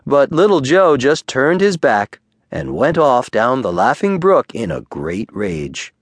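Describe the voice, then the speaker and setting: A man narrating a children's bedtime story audiobook with expressive, deliberate storytelling. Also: mid-range voice, no echo.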